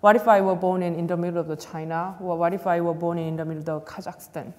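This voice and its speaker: A woman's voice: fairly low pitch, steady tone, fast talker, a little mellow. A woman from South Korea, speaking English with a Korean accent, telling an earnest story as an astronaut panelist who laughs often. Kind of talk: panel talk. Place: large event hall, on stage. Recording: long reverb of a large hall.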